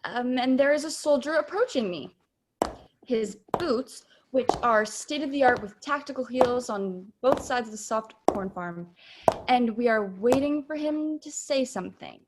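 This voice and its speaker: Young woman as on-scene radio reporter, highish voice, formal, dramatic announcing, comic radio play. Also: animated, a little slow, heavy background noise. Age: late teens. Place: home room, on a video call.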